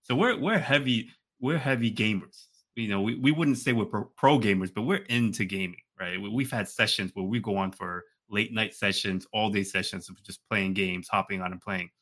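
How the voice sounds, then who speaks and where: A man with a fairly low but bright, forward voice co-hosts a casual podcast. He talks emphatically, circling back to repeat phrases and checking in as he goes. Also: moderate pace. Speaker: podcast co-host.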